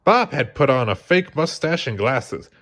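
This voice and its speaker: A man reading a children's storybook aloud as scripted, expressive narration. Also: mid-range voice, unhurried. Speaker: storybook reader.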